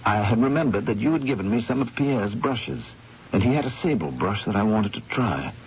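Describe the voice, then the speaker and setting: Troubled painter, dramatically swooping voice. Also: male voice, fairly low pitch, lively intonation, brisk, slight background noise. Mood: agitated, bewildered. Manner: theatrical, intense, hesitant repetitions. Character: troubled, obsessive male painter, fearful and awestruck. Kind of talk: radio mystery drama acting. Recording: muffled vintage radio broadcast, music underneath.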